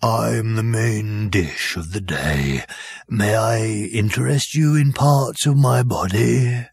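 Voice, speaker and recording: Slow gravelly cow creature, super polite, husky and low, man putting it on. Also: no room sound, studio quality, full character voice. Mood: ingratiating. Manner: courteous, unhurried, ingratiating. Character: polite fat bovine creature offering itself as dinner.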